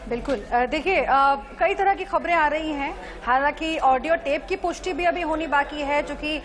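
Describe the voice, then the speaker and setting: A woman's voice: sits high, normal speed, fairly bright tone. A woman giving live, unscripted analysis as a news correspondent in a newsroom.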